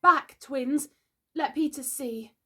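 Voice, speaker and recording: A woman's voice: high voice, takes it slowly, sharp tone, voice pushed up, exaggerated voice. A woman voicing an earnest, simple young boy in a storybook, speaking in dramatic, heartfelt declarations. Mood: solemn resolve.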